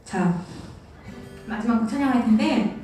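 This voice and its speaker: A woman's voice: fairly high pitch, lively intonation, unhurried, warm tone. A young woman leading youth church worship in a casual, playful, self-deprecating way, with a sore throat. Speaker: youth church praise leader and teacher. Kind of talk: casual talk to teenagers between worship songs. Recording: very echoey room, distant mic, faint music.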